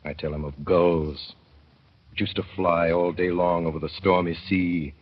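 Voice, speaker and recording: A man narrating a 1930s radio drama as a father, in a wry, mournful storytelling manner that slows to dwell on sad images. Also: deep voice, little pitch movement. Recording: dull old radio broadcast.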